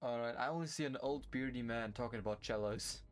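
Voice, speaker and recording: A man's voice: mid-range voice, a little fast. A man chatting casually as a co-player on a livestream. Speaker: streamer's co-player.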